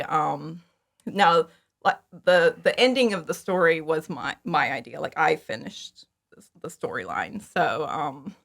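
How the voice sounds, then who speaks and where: A woman chatting casually as an interview guest about her own creative work. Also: fairly low pitch. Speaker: interview guest, fan audio drama creator. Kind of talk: casual chat, telling how a project came about.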